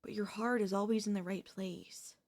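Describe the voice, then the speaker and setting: A woman playing a devoted, possessive wife in an ASMR audio roleplay, speaking haltingly with deliberate dramatic pauses. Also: mid-range voice, steady tone, a little mellow, natural voice. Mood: quiet, eerily calm. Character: devoted wife, shocked then eerily composed, quietly possessive.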